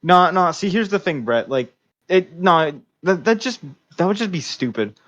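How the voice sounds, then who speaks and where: Dull-sounding lo-fi man chatting haltingly. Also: sits high, lively intonation, fairly bright tone. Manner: slangy, halting, filler-heavy speech. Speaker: podcast co-host. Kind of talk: casual podcast chat.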